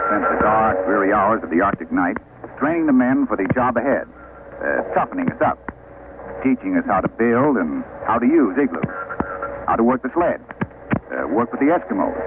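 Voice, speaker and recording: An actor playing a confident, loyal expedition surgeon who explains things in an assured, emphatic way in an old-time radio drama. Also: male voice, on the low side, sing-song, moderate pace, long pauses. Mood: proud, explaining. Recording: noisy, tinny vintage radio recording.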